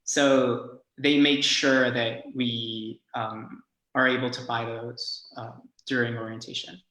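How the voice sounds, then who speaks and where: A man's voice: medium pitch, little pitch movement, slow, bright, forceful tone. A young man from Mexico, a native Spanish speaker, hosting a student Q&A in an easygoing way, full of "you know.". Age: young adult. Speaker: student host. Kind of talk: casual Q&A, reading questions. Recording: echoey room.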